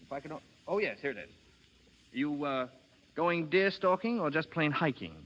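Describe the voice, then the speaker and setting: An actor playing a brisk, gallant young gentleman in a 1930s radio drama, speaking emphatically. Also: on the high side, animated. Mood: reassuring, amused. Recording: dull lo-fi 1930s radio broadcast.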